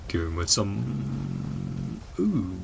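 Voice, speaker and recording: Very deep-voiced, creaky woman reacting to a game. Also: huge pitch swings, drawn-out words, darkish tone, a little hiss or hum. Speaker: gaming streamer reacting to a story quest. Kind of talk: casual game reaction commentary, murmured asides and read-along dialogue.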